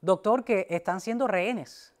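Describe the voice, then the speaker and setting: A woman's voice: mid-range voice, measured pace. A woman speaking as the host of a broadcast interview.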